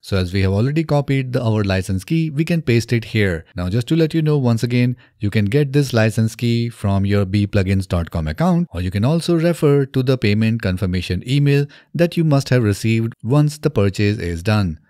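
A man in his thirties narrating a software tutorial step by step, in an instructional way, close to the microphone. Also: medium pitch, lively intonation, moderate pace, hardly any pauses, dry recording. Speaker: tutorial presenter. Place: small indoor home studio. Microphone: close desk microphone on a boom arm.

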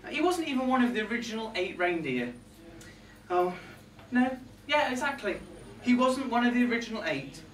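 A man's voice: very high voice, big pitch swings, moderate pace, a bit bright, far from the mic, heavily acted. A young man playing a catty, resentful reindeer in a comic monologue, indignant and gossipy, with arch asides to a live audience. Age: young adult. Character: catty, resentful male reindeer grumbling about Santa's crew. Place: small pub room with a live audience. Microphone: far camera. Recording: echoey room from afar, background noise.

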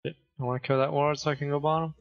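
A man talking on voice chat during a game, excitable with loud outbursts and laughter. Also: fairly high pitch, racing, creaky, dry recording. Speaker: teammate on voice chat. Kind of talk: casual gaming chat. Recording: muffled voice chat.

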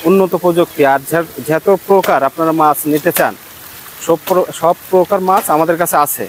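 A man in his thirties speaking quickly about his hatchery's fish, repeating figures in plain sales talk. His voice sits at a middle pitch, picked up on a lapel mic outdoors with faint noise around. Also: low-quality recording. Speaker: hatchery manager. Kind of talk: explaining prices in an interview.

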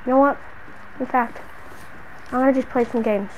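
A female gamer with a high voice improvises a role-play scene. The talk is slangy and exclamatory, played up for drama. There is light noise in the recording. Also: steady tone, normal speed, muffled recording. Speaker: gamer narrating a role-play short film. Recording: noisy home gaming recording.

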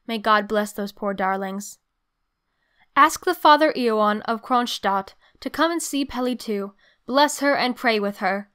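Earnest pleading grand duchess reading an old letter, audio drama, smooth highish voice. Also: female voice, a bit bright. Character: earnest grand duchess aunt, pious, urging young lovers on.

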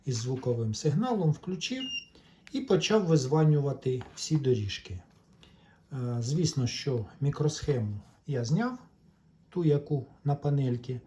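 A man's voice: on the high side, mellow, breathy edge, highs cut off. A man giving a casual, step-by-step repair demonstration, explaining in a hesitant way. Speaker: electronics repair vlogger.

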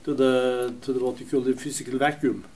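Man, audience member, monotone, hesitant, faint background noise. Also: medium pitch, brisk. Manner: hesitant, restarts often. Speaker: audience member asking a question. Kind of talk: halting question from the audience.

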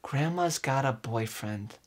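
A man in his twenties giving an earnest, overwrought dramatic reading, with emphatic phrasing and deliberate pauses. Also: mid-range voice, expressive. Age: 20s. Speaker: comedic performer. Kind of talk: theatrical dramatic reading of song lyrics. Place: indoors, small room with curtain backdrop.

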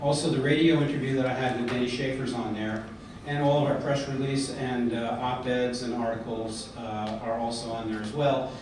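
A middle-aged American man giving an earnest prepared speech at a podium, often pausing on fillers and restarts. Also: medium pitch, fairly even intonation. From United States. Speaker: convention speaker. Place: large hotel conference hall, indoors. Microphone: podium mic, filmed by a far camera. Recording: echoey hall from far off, background noise.

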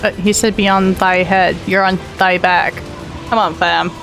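A man's voice: high voice, little pitch movement, measured pace, vocal fry, music in the background, poor audio. A young adult man giving casual, sardonic reaction commentary as a gaming streamer. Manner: joking, sardonic.

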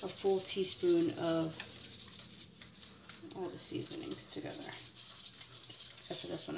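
A woman's voice: fairly low pitch, gravelly. A young American woman chattily talking through a casual cooking demonstration, step by step, in a home kitchen. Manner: chatty, informal, narrating each step. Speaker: home cook, vlogger. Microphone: fixed camera on the counter. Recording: very noisy home kitchen recording with clatter. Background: kitchen clatter, people in the background.

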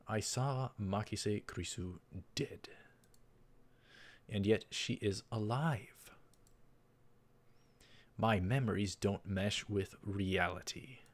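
A young man hosting a let's play, reading visual novel text aloud and adding casual commentary. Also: fairly low pitch. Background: game music.

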